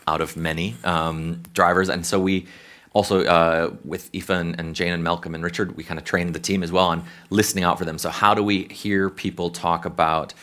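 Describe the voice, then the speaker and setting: A man's voice: deep voice, flat delivery, normal speed, a bit bright. An American man, a consultant, answering questions on a conference panel in a thoughtful, conversational way with frequent restarts. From United States. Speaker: behavioral science consultant.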